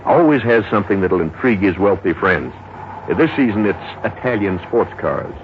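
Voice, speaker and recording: Easygoing detective sergeant, American, low, rambling, folksy, 1950s radio drama, muffled noisy recording. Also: male voice, animated, a little fast. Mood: gossipy. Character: gossipy, easygoing male small-town police detective sergeant.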